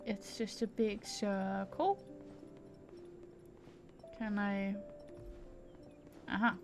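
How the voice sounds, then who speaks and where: A woman's voice: mid-range voice, level tone, extremely slow, soft background music. A woman giving casual gameplay commentary, muttering to herself as she plays a video game. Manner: mutters to herself while playing. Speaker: streamer playing a video game.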